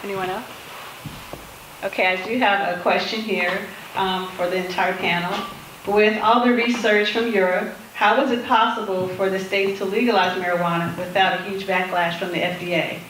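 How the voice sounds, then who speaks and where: A woman's voice: mid-range voice, fairly even intonation, normal speed, fairly bright tone. A woman moderating a panel and putting questions to the speakers. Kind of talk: reading audience questions to a panel. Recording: echoey room, distant, faint noise.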